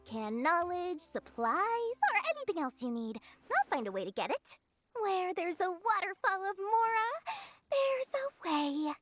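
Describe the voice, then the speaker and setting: A woman voicing a small, greedy female merchant in a video game, slyly flattering with theatrical sales patter. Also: very high-pitched, heavily acted. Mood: eager, flattering.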